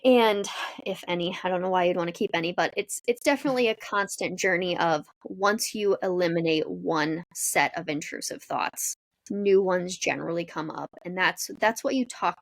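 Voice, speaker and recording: A woman's voice: lowish voice, moderate pace, dry recording. A woman co-hosting a podcast, giving conversational advice in an encouraging, explanatory way. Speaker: podcast co-host.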